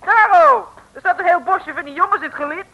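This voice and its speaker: A woman's voice: very high voice, fast talker, lo-fi sound. A woman playing an eager, naive, deferential young detective's assistant in a 1950s radio serial, girlish and full of questions. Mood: wide-eyed. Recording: old radio recording.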